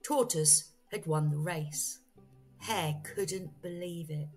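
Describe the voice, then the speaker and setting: A woman storyteller reading a children's bedtime story aloud in an expressive, deliberate way. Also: sits low. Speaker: storyteller, teacher. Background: faint music.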